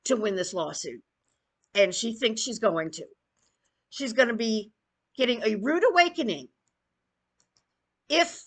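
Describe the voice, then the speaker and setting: A woman delivers an opinionated commentary rant in a fairly high voice. She takes her time, speaking slowly while her pitch swings widely for emphasis. Her manner is blunt and scornful. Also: fairly bright tone. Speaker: YouTube commentator.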